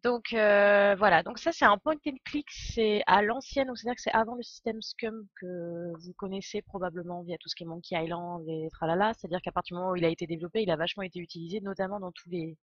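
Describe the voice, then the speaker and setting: A woman's voice: lowish voice, quick pace, few pauses, no echo, highs cut off. Congested young woman, casual streamer. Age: 20s. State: congested with a cold. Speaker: game streamer, host. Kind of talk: casual live stream chat.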